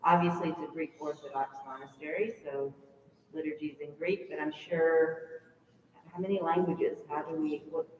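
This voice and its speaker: A woman's voice: deep voice, steady tone, moderate pace, a little hiss or hum. A woman from the audience asking the speaker a question, hesitating and searching for words. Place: church hall. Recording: dull, echoey hall from far off.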